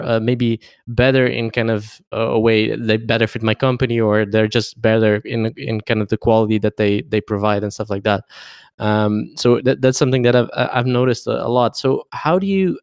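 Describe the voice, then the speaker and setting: A male podcast host with a smooth, clear, mid-pitched voice. He talks informally and a little haltingly, recorded close and dry with very little room sound. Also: a little mellow, no room sound. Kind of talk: casual podcast interview.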